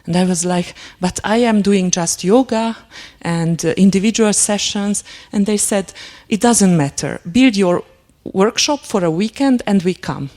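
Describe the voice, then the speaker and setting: A woman whose first language is Hungarian, giving a lecture and telling a personal story in a halting, reflective way, with many fillers and restarts. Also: mid-range voice, darkish tone. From Transylvania, Romania.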